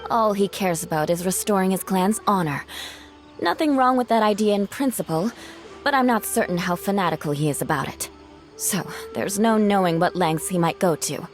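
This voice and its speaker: A woman's voice: mid-range voice, animated, normal speed, faint music behind, close to an everyday voice. A woman playing a proud, cool, dutiful noblewoman knight in a video game, with a formal, haughty manner. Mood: serious. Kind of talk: video game cutscene acting.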